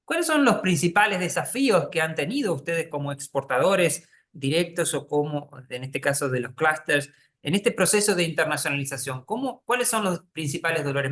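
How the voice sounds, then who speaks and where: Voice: medium pitch, fairly bright tone. A moderator with a Uruguayan Spanish accent, hosting a webinar panel in a loose, conversational way with many fillers and restarts.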